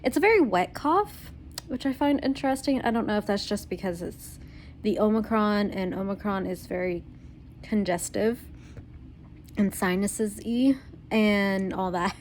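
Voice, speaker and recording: A young woman talks through a casual home video diary, her voice worn out and stuffy from a cold. She rambles along in a middle-pitched voice, full of ums and little laughs, with a faint hum of noise in the background. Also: normal speed, darkish tone. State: tired, congested voice from covid. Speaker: vlogger.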